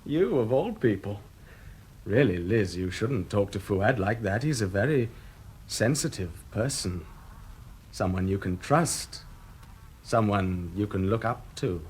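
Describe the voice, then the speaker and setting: An actor playing a sarcastic, menacing, unhinged brother in a radio drama, husky and theatrically taunting. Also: male voice, lowish voice, big pitch swings, slow, long pauses, slight background noise. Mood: mocking. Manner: theatrical, taunting, swinging from silky to explosive.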